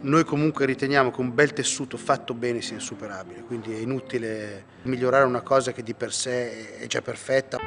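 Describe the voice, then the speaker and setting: A man's voice: mid-range voice, a little fast, vocal fry, hardly any pauses. A man speaking for a company in a documentary interview. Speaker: textile company representative. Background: plucked guitar music.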